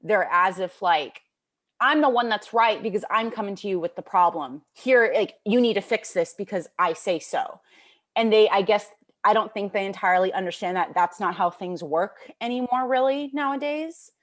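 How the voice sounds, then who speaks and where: A woman of twenty-two speaks firmly and with conviction in an interview. Her voice is mid-pitched and her delivery is casual. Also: moderate pace. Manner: emphatic, opinionated. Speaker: grocery store worker.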